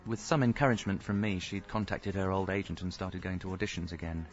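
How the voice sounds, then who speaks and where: A man's voice: deep voice, fast talker, dull audio, soft background music, lightly acted. An actor playing a hapless, earnest young father and husband in a radio comedy, by turns sheepish and drily wry. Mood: wry narrating. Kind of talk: radio comedy acting with wry first-person narration.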